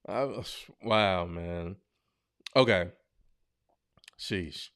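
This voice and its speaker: Slow, smooth-voiced male podcast host. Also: sits low, fairly bright tone, no room sound, clean studio sound. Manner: slangy, blunt and joking, with frequent exclamations. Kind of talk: casual reaction commentary.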